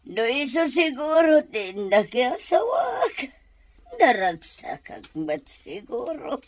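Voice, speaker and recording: A woman's voice: sits high, sing-song, slow pace, full character voice. A woman acting a bitter, heartbroken middle-aged mother in a melodramatic radio drama, with a tearful, wailing delivery. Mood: anguished, sobbing.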